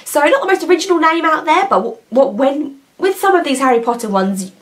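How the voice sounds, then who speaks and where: A woman's voice: high-pitched, animated, fairly quick. A woman, chatting casually and enthusiastically to camera with lots of hesitations and asides. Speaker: nail polish vlogger.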